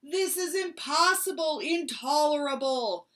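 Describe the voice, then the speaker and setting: A woman's voice: high-pitched, animated, unhurried, pushed and bright, pitched above the performer's own voice, full character voice. A woman doing a stuffy-nosed, grumpy sick bear. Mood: grumbling. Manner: whiny and self-pitying. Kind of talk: storybook read-aloud.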